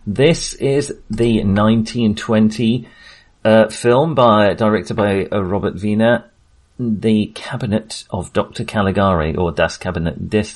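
A man hosting a podcast in a casual discussion style, halting and often searching for words. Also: fairly low pitch. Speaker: podcast host.

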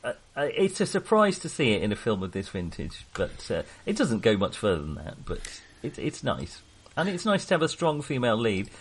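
A man chatting casually about films as a podcast co-host, in a halting, rambling manner. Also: medium pitch, huge pitch swings, a little hiss or hum. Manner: halting, rambling, often chuckling. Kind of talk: casual film discussion.

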